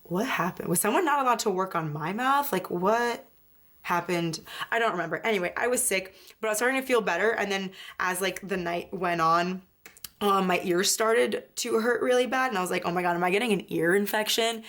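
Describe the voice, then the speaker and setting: A woman's voice: medium pitch, brisk, fairly bright tone. Chatty young woman rambling casually. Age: 20s. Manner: rambling, self-correcting, lots of "like" and "um". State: recovering from illness, voice partly lost. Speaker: planner vlogger. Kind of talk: casual chatty flip-through narration.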